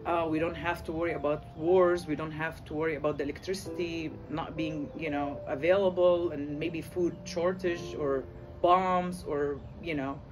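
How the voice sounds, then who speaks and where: Man, from Lebanon, Arabic native, higher voice, halting with fillers, music underneath. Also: steady tone. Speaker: interviewee. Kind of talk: personal migration story.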